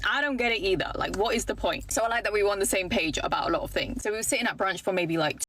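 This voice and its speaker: A woman's voice: medium pitch, racing, a bit bright, a little hiss or hum. A woman in her thirties, telling a dating story in a chatty, talkative way, full of "like". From Britain. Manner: chatty, talkative, filler-heavy with frequent "like". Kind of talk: casual storytelling to camera.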